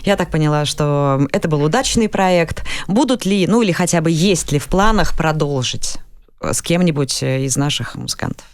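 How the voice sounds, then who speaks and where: A woman's voice: low-pitched, animated, moderate pace, dry recording. A woman hosting a radio interview, asking friendly questions with brief back-channel replies. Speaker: radio host. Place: radio studio.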